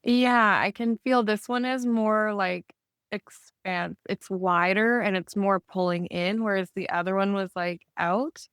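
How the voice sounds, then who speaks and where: A woman with a smooth, clear voice talks casually in a close, dry recording. Her manner is chatty and informal, as in an easygoing conversation, and her pitch sits in a middle range. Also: steady tone, unhurried, fairly bright tone, dry recording. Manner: chatty, informal, frequent use of "like". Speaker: podcast co-host or guest.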